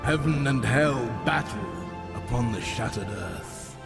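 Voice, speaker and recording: An ancient, cryptic maker, grave and slow in delivery. His lines ring with a long reverb over clear game music. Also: mid-range voice, animated.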